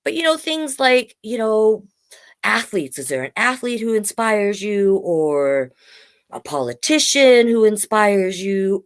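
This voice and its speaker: A woman hosting a children's storytime in a chatty, animated way, often putting questions to the listeners. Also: medium pitch. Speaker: storytime host, drag performer.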